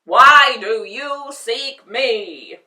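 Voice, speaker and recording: A woman voicing a stern giant floating male head that speaks loudly and slowly. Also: high voice, recorded from a distance, pitched above the performer's own voice, full character voice. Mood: booming. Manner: loud, slow, commanding. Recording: echoey room, voice off-mic.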